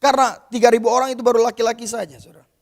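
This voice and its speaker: High-voiced man preaching a sermon. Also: level tone, rapid-fire. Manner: addresses listeners as "saudara", retells scripture with quoted voices; conversational and informal, with casual asides. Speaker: pastor.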